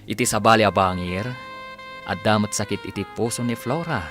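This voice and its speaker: A man narrating and announcing a radio drama in a drawn-out, theatrical manner. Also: on the low side, music in the background.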